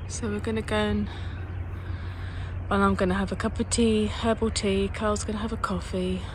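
A woman, chatting softly while filming aboard a small sailing boat. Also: medium pitch, level tone, moderate pace. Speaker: vlog co-host filming. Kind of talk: casual vlog chat. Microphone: handheld phone camera. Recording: noisy boat sound.

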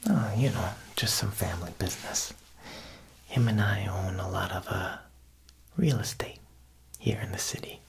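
A man's voice: sits low, moderate pace, vocal fry, pauses often, a little hiss or hum. A young man whispering an ASMR roleplay as a joking, faintly menacing Italian mob boss tailor, with wiseguy turns of talk. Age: young adult. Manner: wiseguy talk, frequent "huh" tags. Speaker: ASMR performer playing a tailor.

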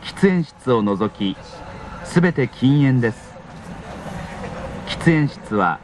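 A man's voice: mid-range voice, sing-song, slow pace. A man reading a scripted train announcement in a formal, polite manner. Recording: in-car announcement with clear vehicle noise. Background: train running noise.

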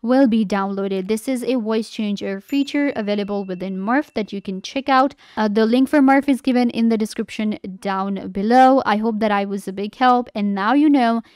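A woman giving a casual step-by-step software tutorial as a voice-over presenter. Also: on the high side, moderate pace, hardly any pauses, clear, resonant tone, no room sound, clean studio sound.

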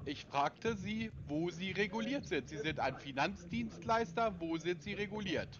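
A man's voice: sits high, animated, moderate pace, a crowd in the background. Insistent man on a muffled call. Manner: persistent, insistent, repeats questions. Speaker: scam baiter posing as a caller. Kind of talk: phone call. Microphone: phone call recording. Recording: muffled phone call recording.

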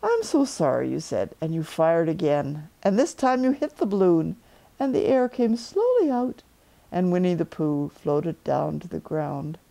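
A woman's voice: on the low side, sing-song, measured pace, vocal fry, mellow. A woman in her seventies narrating a children's storybook as a grandmother storyteller, indoors in a living room. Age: 70s.

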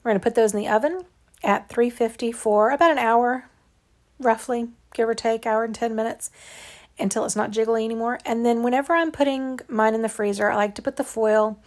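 A woman's voice: fairly high pitch, fairly even intonation, brisk. A woman narrating her home cooking in a chatty, plainspoken way, with frequent ums and asides. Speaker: home cook and channel host. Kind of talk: casual cooking voice-over. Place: home kitchen.

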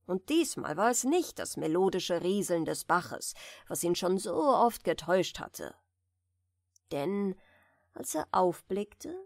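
A woman reading a fairy tale aloud as a bedtime audiobook, softly and soothingly, in a deliberately gentle manner. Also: mid-range voice, gravelly, no echo, studio quality. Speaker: audiobook reader.

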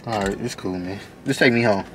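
A man's voice: fairly low pitch, fairly quick, faint music behind, low-quality recording. A man in his twenties with an American accent arguing casually and heatedly in a car, exasperated, slangy and sweary, repeating himself. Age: 20s. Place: inside a parked car. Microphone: camera on the dashboard.

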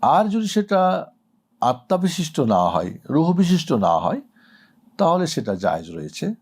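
A man's voice: a little high, huge pitch swings, unhurried, darkish tone, breathy edge. A middle-aged man speaking as an Islamic cleric, giving a didactic, emphatic religious answer to a question. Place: indoor studio set.

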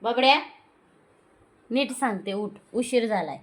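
A woman in a casual home video, scolding her pet cat in a high, sing-song, half-playful way. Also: expressive, a little slow. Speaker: pet owner.

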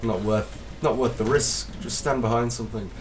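A man with a slight cold, casually commentating his gameplay as a let's play host, excitable and self-deprecating. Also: medium pitch, normal speed, recorded from a distance, a little hiss or hum.

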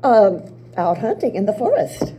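Psyche, a trusting young maiden who stumbles eagerly over her words, read by an older woman, her voice lively and rising, mellow in tone, slow and unhurried, picked up by a table mic on a small theater stage. A faint hum sits under the reading. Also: medium pitch. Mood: eager, innocent. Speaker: playwright reading her own play.

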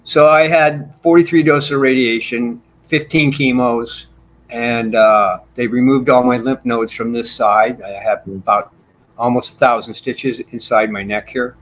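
A man's voice: medium pitch, fairly even intonation, unhurried, a bit bright. Talkative older man on a video call. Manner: talkative, rambling storyteller. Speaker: bassist guest. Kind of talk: casual interview chat. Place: small home room with shelves. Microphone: video call with desk mic.

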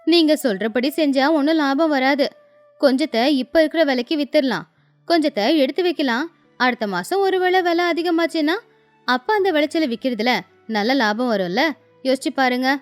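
A woman's voice: sits high, lively intonation, moderate pace, soft background music. A woman acting a shrewd young village wife in a moral story cartoon, coaxing and advising with confidence. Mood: persuasive. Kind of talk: acted dialogue in a Tamil moral story cartoon.

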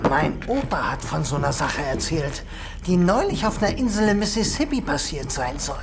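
Excitable, superstitious male guard, swinging pitch, horror audio drama. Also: moderate pace, noisy background. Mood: spooked, eerie. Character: superstitious, jittery male night watchman.

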